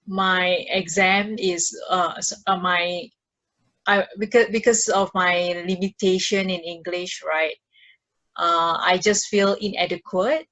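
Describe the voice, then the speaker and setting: Very slow-talking Indonesian-accented woman. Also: lowish voice, steady tone, a bit bright, poor audio. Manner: halting, with frequent repeated words. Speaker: podcast guest, mindfulness practitioner. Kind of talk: casual personal storytelling.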